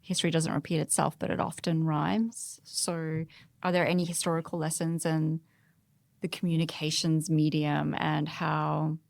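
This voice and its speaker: A woman's voice: deep voice, level tone, normal speed, gravelly, no room sound. A woman talking conversationally as a panelist in a podcast discussion.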